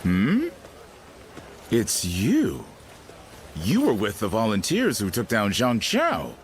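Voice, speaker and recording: Sly strategist, very swooping, drawling delivery. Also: male voice, lowish voice, wildly swooping pitch, takes it slowly, a little hiss or hum, full character voice. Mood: friendly, curious. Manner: teasing, smooth, casual. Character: sly, affable male strategist serving a warlord. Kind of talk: video game dramatic acting.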